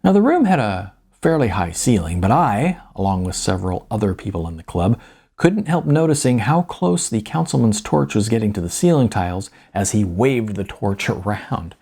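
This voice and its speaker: A man narrating a first-person story in a wry, colloquial, emphatic storytelling manner, with a slightly husky voice. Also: lowish voice, big pitch swings, normal speed, warm tone. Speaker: author reading own novella.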